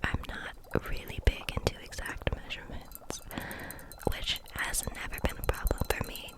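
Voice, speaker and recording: Softly whispering woman as gentle witch. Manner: soothing, reassuring, unhurried. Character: gentle, kindly female kitchen witch making potions. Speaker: ASMR roleplay creator. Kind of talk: whispered ASMR roleplay.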